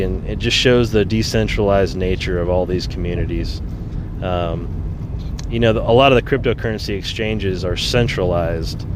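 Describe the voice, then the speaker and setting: A man's voice: lowish voice, background noise. A man hosting his own podcast alone, talking casually with lots of ums and uhs, a bit nasal from a summer cold. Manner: rambling, many ums and uhs. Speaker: podcast host. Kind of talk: solo casual podcast talk.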